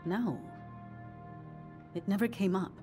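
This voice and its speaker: A woman's voice: medium pitch, takes it slowly, warm tone, faint music behind, lightly acted. An actress playing an evasive, pious middle-aged woman, guarded and dismissive, in a video game drama. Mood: guarded, curt. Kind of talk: naturalistic video game acting.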